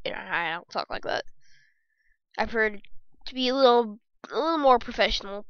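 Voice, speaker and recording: A young woman with a squeaky voice hosting a casual gaming podcast from her bedroom, rambling and joking as she talks. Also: animated, a little fast, smooth, dry recording, lo-fi sound. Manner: rambling, jokey, self-correcting. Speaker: podcast host.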